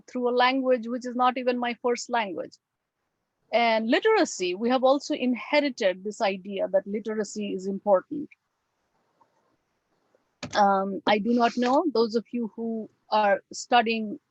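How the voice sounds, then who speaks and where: A woman with a Bengali accent, whose first language is Bengali, gives an academic talk over a video call, reflective and full of pauses and fillers. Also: mid-range voice, dry recording, dull audio. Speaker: guest lecturer.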